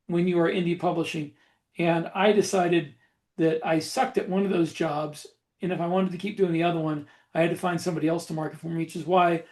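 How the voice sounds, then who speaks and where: High-pitched man chatting about his trade. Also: darkish tone. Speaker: author and podcast co-host. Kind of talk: casual shop talk about book publishing.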